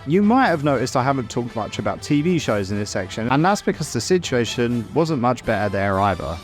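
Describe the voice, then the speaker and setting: A man's voice: medium pitch, big pitch swings, brisk. Wry man narrating a video essay. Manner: wry, opinionated. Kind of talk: scripted video essay narration with casual asides. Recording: music under the voice. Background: background music.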